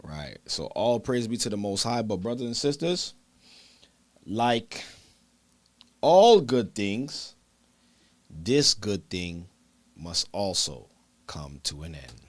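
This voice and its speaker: A man with a very low voice hosts a church broadcast in a loose, chatty way. He addresses his listeners as brothers and sisters. His tone is easygoing and conversational. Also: very animated, slow, pauses often, dry recording. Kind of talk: casual livestream talk.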